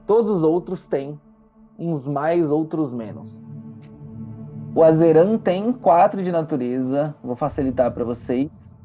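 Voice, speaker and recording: Mellow, muffled Brazilian game master over music. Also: medium pitch, animated, measured pace. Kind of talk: narrating a tabletop roleplaying game.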